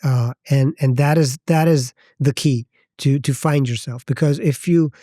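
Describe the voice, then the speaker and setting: A Pakistani-accented man in his thirties speaks as a podcast guest, his voice mellow and close in a dead, dry space. He talks in a reflective, halting way, often stopping to rephrase. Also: mid-range voice, steady tone, no echo. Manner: thoughtful, halting, circles back to rephrase. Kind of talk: reflective personal storytelling.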